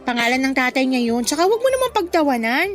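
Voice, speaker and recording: A smitten young Filipino woman with a high voice. She sounds coy and plaintive, standing up for her suitor in a radio drama. Faint music sits behind her. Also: big pitch swings, fast.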